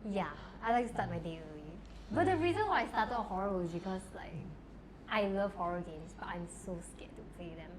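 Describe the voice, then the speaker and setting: A woman's voice: mid-range voice, animated, a little fast, noisy background. A young adult woman from Taiwan, chatting casually as a podcast guest and filling her talk with "like". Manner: chatty, lots of filler "like". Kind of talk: casual chat.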